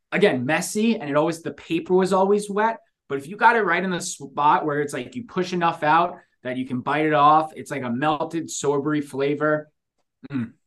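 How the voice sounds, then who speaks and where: A man with a voice a little higher than average co-hosts a casual podcast. He talks in loose slang and tells stories in an animated, chatty way. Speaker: podcast co-host.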